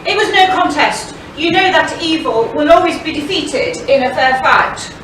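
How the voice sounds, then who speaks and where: A woman's voice: sits high, lively intonation, moderate pace, a bit bright. A woman playing a bold, teasing pantomime outlaw hero with theatrical, heroic delivery. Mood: bold, taunting. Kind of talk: stage pantomime acting. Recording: very echoey hall, distant mic, clear noise.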